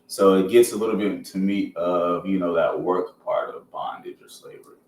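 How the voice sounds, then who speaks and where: A man with a deep American voice hosts a podcast in loose, informal language, sometimes reading out reference text. The room echoes, and he sits back from the mic with faint noise around him. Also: steady tone, normal speed, a little mellow. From Georgia, United States. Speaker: podcast host. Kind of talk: casual podcast talk with some reading aloud from a reference. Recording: roomy echo, distant mic, faint noise.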